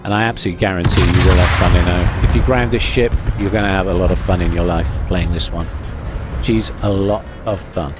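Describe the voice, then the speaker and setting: Low-voiced man narrating gameplay. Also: steady tone, brisk, music in the background. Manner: chatty, wry and self-deprecating, thinks aloud while playing. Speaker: gaming video host. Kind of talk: live gameplay commentary.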